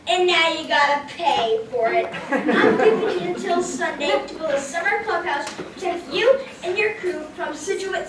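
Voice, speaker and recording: Woman acting a high-voiced bossy pirate captain puppet. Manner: commanding, barking orders. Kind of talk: children's puppet show acting. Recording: echoey hall, distant mic, noisy.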